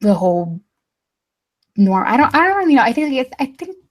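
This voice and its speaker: A woman's voice: sits high, big pitch swings, normal speed. A young woman from Texas chatting casually as a guest, hesitant with many fillers and restarts, and dryly sarcastic. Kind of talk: casual chat.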